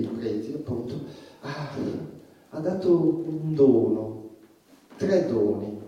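Casting: male actor as provocative stage comedian, very dark muted tone, theatrical pauses and repetitions, distant miking with music behind. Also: fairly high pitch. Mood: wry, pointed. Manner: dramatic pauses, addresses the audience as friends, repeats phrases. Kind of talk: theatrical stand-up comedy monologue in a stage play. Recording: echoey room, distant mic, music behind.

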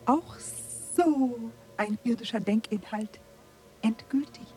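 An actress playing a serene, superior alien authority in a German radio drama, speaking in an aloof, deliberate way. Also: female voice, a little high, a little mellow, soft background music. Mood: superior, detached.

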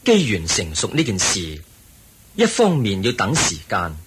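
聲線低沉、語調起伏嘅佛陀. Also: male voice, lowish voice, wildly swooping pitch, a bit bright, husky, exaggerated voice. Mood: serene, instructive. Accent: Hong Kong Cantonese. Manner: measured, instructive. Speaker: radio drama actor. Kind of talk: Cantonese radio drama acting. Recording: faint background noise.

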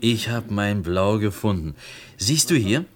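Humble potter far from home, deep-ish voice, earnest, talkative, lively swings, radio drama. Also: male voice, unhurried. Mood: eager. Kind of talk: German radio play acting.

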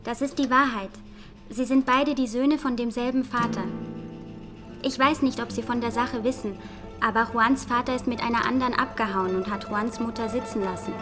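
Actress as young woman spilling secrets in radio play, higher voice, blunt, music behind. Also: fairly even intonation, moderate pace, natural voice. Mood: earnest. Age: young adult. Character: frank, resentful young woman informant. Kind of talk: German radio crime drama acting.

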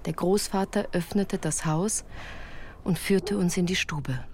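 A woman's voice: fairly low pitch, a little slow, darkish tone, slight background noise. An actress as a woman recounting her childhood in composed, literary first-person narration for a radio drama. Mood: grave, reflective. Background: music.

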